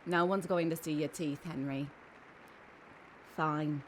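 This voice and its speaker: Slow-talking bossy rabbit played by a woman, noisy recording. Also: low-pitched, fairly even intonation. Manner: commanding, dry, impatient. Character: bossy, wry talking magic rabbit, stage partner. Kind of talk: audio drama acting.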